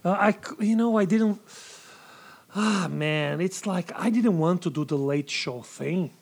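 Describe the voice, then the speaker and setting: A man's voice: high voice, unhurried. A man in his forties from Brazil, speaking English with a Brazilian accent as a podcast guest, earnest and repetitive in casual chat. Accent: Brazilian Portuguese. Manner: earnest, repeats himself, swears casually.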